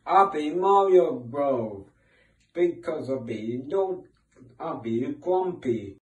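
A man's voice: a little high, animated, slow pace, darkish tone, reverberant, recorded from a distance, rough recording. A young man playing a grumpy elf in an amateur pantomime, recorded in a room away from the mic. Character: grumpy elf dressed as video-game plumber.

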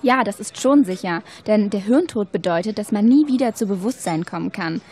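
Young female student played by a woman, mid-pitched. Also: expressive, brisk, darkish tone, husky. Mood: reasonable, assured. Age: young adult. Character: curious, open-minded young female university student. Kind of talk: scripted educational radio-play dialogue.

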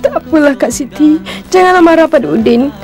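Gentle cartoon orphan girl, courteous and sorrowful, high voice, faint music. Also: expressive, brisk. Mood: wistful. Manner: soft-hearted, polite. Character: polite, sad young orphan girl. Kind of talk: cartoon voice acting.

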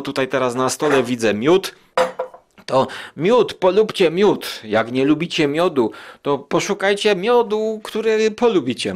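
A man hosting a podcast in a casual, colloquial, rambling and somewhat hesitant way. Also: medium pitch. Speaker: podcast host.

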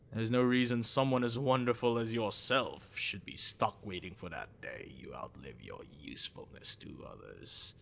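Guy voicing a creepy obsessed young shapeshifter boyfriend, asmr roleplay, intimate and possessive, lots of vocal fry, drags words out slow. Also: fairly low pitch, fairly bright tone, heavily acted. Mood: coaxing.

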